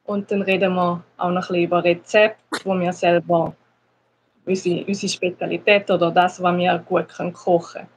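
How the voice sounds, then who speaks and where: A woman's voice: on the low side, level tone, moderate pace, a little hiss or hum, low-quality recording. Brief: Schaffhausen-accented woman teacher, agreeable and casual, hesitant fillers. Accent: Schaffhausen Swiss German with some Zurich words. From Schaffhausen, Switzerland. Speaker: conversation class teacher. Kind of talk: casual chat.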